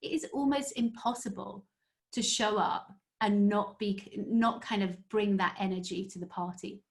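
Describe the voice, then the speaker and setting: A woman guest telling stories in a casual interview, animated and full of fillers. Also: mid-range voice, normal speed. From northeast England. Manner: animated storyteller, many fillers. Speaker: guest, story strategist.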